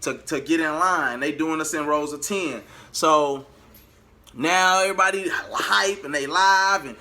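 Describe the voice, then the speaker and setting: A man telling a story casually to his viewers, animated and slangy, slipping into the words of people he quotes. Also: a little high, measured pace. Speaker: vlogger and amateur singer.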